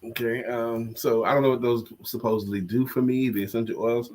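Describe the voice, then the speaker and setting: A man's voice: medium pitch, little pitch movement, brisk, a little mellow, a little hiss or hum. A congested man co-hosting a casual live-stream chat, joking and self-deprecating. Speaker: co-host and coach.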